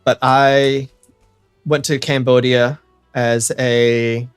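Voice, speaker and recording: A young man with an American accent talks to his stream viewers in a slow, unhurried way, often stopping to rephrase himself. His voice sits in an ordinary middle pitch. The sound is dry and close, with faint music underneath. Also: little pitch movement, clean tone, dry recording. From United States. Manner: rambling, self-correcting, chats to viewers. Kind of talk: casual livestream chat while playing.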